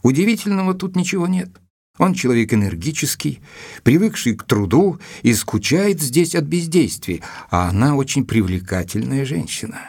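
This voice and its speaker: An actor playing an earnest, educated gentleman who narrates his letters in a measured, expressive way for an audio drama. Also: medium pitch, breathy edge, dry recording, clean studio sound. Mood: thoughtful, concerned. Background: music.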